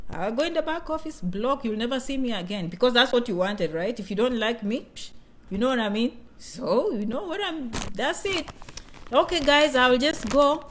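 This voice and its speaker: A woman's voice: fairly high pitch, a little hiss or hum. A woman with a Kenyan accent, chatting casually on a livestream as a vlogger, reading comments and often asking "you know?". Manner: chatty, repeats herself, keeps asking "you know?" and "okay?".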